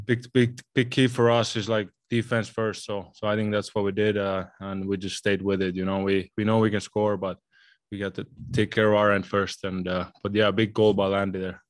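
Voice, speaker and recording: A man in his twenties, a hockey player answering postgame questions in an arena press room into a table mic, halting and repeating words. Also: lowish voice, no room sound. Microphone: table mic in front.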